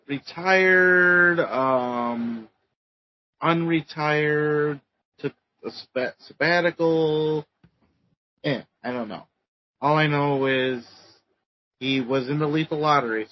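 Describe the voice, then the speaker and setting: Guy rambling slow thru a recap, lots of ums, kinda muffled audio. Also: fairly high pitch. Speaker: podcast co-host. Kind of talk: casual chat.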